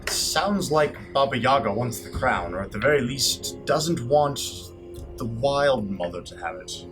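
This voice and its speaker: A woman playing a measured, suspicious adventurer in a tabletop game, probing and matter-of-fact. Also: a bass voice, expressive, music in the background. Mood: pondering. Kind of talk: improvised tabletop roleplay.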